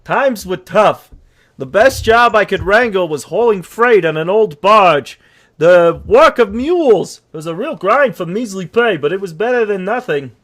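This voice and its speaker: A talking horse in a comic fandub, pitched very high. He is an eager would-be rogue who spins his own tale with theatrical relish and old-fashioned, folksy turns of phrase. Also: animated, a little slow, fairly bright tone, full character voice. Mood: dramatic storytelling. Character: self-pitying talking horse, eager cheerful rogue wannabe. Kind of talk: comic comic-book fandub reading with storytelling flourishes.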